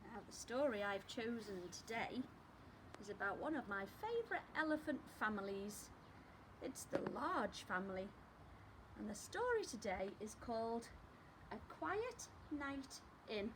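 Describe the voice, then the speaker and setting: Female storytime teacher: high, unhurried, expressive read-aloud for young children, noisy background. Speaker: primary school teacher reading stories.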